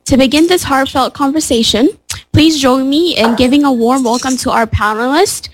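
A woman's voice: sits high, normal speed, slight background noise, rough recording. A woman hosting a live podcast panel, friendly and a little hesitant. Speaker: podcast host. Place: event room with a live audience.

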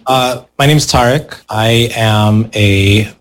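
A young man speaking as a panelist in front of a live audience, relaxed and hesitant with many pauses and fillers. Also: mid-range voice. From United States. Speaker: panelist, percussionist. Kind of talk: casual panel talk. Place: event room with a live audience.

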